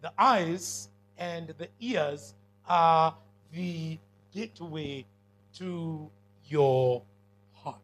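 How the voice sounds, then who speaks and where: Kenyan male preacher, high-pitched and bright, commanding, emphatic call-and-response delivery. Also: extremely slow, rough recording. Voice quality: big commanding voice. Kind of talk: sermon to students.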